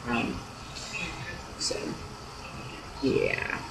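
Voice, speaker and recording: A young man chats casually and unscripted in a rambling, hesitant way, like a personal video blog. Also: very slow. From California, United States. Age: young adult. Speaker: video blogger. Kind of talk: casual unscripted chat to camera. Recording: noisy low-quality amateur webcam-style audio.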